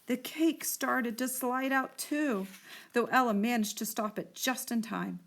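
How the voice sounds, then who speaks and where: Frau, die ausdrucksvoll ein Bilderbuch vorliest. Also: female voice, fairly high pitch, measured pace, creaky, a bit bright. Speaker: children's librarian reading a storybook aloud. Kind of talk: expressive picture-book storytelling for children.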